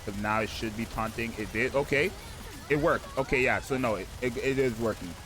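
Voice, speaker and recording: A man with a slightly raspy voice, just out of bed, hosting casual gameplay commentary and rambling with frequent "right?" checks. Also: mid-range voice, a little fast. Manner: rambling, keeps asking "right?". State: just woke up. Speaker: gaming video host.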